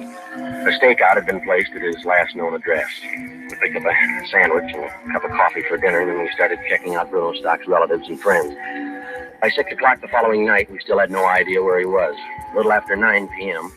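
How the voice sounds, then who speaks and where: An actor playing a terse, businesslike police detective, with clipped, matter-of-fact delivery in the style of a 1950s radio crime drama. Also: male voice, low voice, close to an everyday voice, rough recording. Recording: old 1950s radio broadcast recording.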